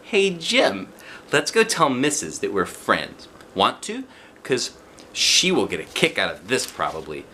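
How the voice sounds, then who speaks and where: A man voicing an excitable, earnest kindergarten girl with an emphatic, childlike way of talking in a children's storybook reading. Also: medium pitch, unhurried, slight background noise, pitched above the performer's own voice, exaggerated voice. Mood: eager. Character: excitable, stubborn, hopeful kindergarten girl narrator. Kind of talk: children's storybook read-aloud.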